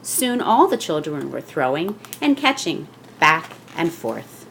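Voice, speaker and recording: A woman reading a children's picture book aloud as scripted narration, with expressive storytelling delivery. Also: low voice, takes it slowly, creaky, slight background noise, low-quality recording.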